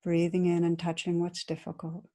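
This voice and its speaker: Woman doing a guided meditation, lowish warm relaxed voice, gentle and soothing, lots of slow pauses. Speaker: meditation teacher.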